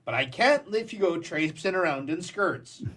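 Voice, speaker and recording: A man's voice: sits high, expressive, normal speed, a bit bright, breathy edge, exaggerated voice. A man playing a scolding but kindly policeman in a live radio comedy.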